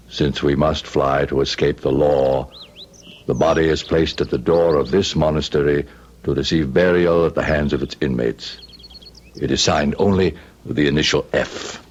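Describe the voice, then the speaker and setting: An actor playing a grave, pious Father Superior in an old-time radio drama, speaking in a solemn, measured, formal way. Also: extremely low pitch. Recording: dull, hissy vintage radio recording.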